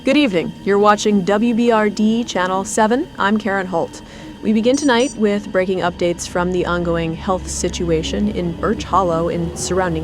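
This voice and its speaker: A woman playing a composed TV news anchor, reading grave emergency bulletins in a formal, measured way. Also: medium pitch, noisy background, close to an everyday voice. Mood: formal, serious. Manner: formal, measured newsreader delivery. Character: composed female TV news anchor covering a deadly outbreak. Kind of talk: scripted breaking-news narration.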